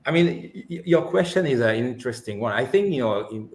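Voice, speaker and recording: A man with a French accent, his first language Armenian, speaks reflectively as a panel guest. His voice sits at an ordinary middle pitch. The room gives a clear echo around him. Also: lively intonation, fairly quick. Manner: reflective, many restarts and "you know" fillers. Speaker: panel guest, business leader. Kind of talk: webinar panel discussion. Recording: roomy echo.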